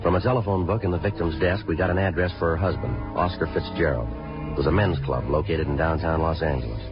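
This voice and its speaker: Terse male cop, deadpan, quick, 1950s radio procedural, muffled recording with music. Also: a bass voice. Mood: flat, factual. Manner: clipped, deadpan, matter-of-fact. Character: terse, businesslike police detective, narrating his own case. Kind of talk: 1950s radio police procedural acting and narration.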